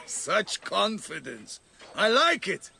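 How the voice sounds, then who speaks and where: An actor playing a cocky, cruel Roman gladiator master in a video game, with a mocking, swaggering manner. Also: male voice, high-pitched, huge pitch swings, drawn-out words, vocal fry, sharp tone, slight background noise, exaggerated voice.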